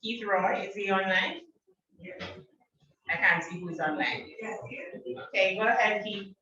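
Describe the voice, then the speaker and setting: A woman with a bright, forceful voice, brisk and teasing as she runs an online meeting. She sounds far from the mic in a very echoey room, with a dull, muffled recording. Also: mid-range voice. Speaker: meeting chair. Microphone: video call.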